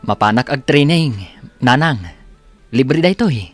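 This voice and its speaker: An actor playing a respectful, determined young adult son, with a Filipino accent in radio drama style. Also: male voice, mid-range voice, animated, unhurried, dry recording. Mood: hopeful. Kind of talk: Ilocano radio drama acting. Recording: loud music bed under dialogue. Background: loud background music.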